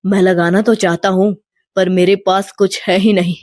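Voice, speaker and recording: A woman voicing a humble, devout poor village father, gentle and respectful, in an animated moral tale. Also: medium pitch, normal speed, dry recording, studio quality, voice pushed down, natural voice. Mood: downcast. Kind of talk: animated devotional storybook acting.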